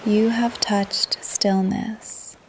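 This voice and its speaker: A woman leading a guided meditation in a soft, calm, breathy voice that often trails into a whisper, with gentle pauses. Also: medium pitch, low-quality recording. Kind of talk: guided meditation, yoga nidra narration. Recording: ocean surf under the voice. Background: ocean waves ambience.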